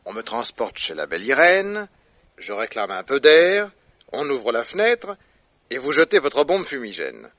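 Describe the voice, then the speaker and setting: A confident, playful sleuth in a radio drama, setting out his reasoning with theatrical flair. The delivery is animated and forceful, in a dry, slightly dull vintage recording. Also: medium pitch, a little slow, no echo. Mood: confident, triumphant. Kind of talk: French radio drama acting.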